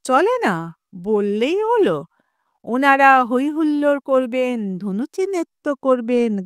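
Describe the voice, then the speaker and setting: A woman's voice: high-pitched, clean tone, no echo, studio quality, exaggerated voice. A woman voicing an irate, self-important pot-bellied rich man in an audio story, with a huffy, indignant manner.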